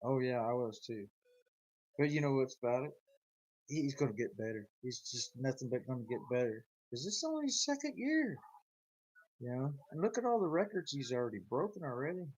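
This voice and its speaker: A man's voice: medium pitch, big pitch swings, a little fast, a little mellow, no room sound, lo-fi sound. A man with a slight Arkansas twang, rambling and chuckling as he chats with viewers of his live stream. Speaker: YouTuber chatting with live viewers. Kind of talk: casual live-stream chat.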